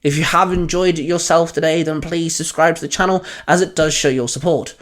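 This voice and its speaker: A man's voice: a little high, fairly even intonation, fairly quick. A man speaking as a channel host, addressing listeners in an outro.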